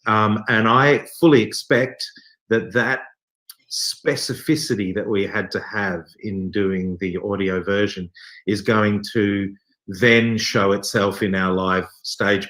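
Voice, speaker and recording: A man being interviewed, talking casually in a thoughtful, halting way with wry, self-deprecating humour. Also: fairly low pitch, fairly even intonation, a bit bright. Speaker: theatre company director being interviewed.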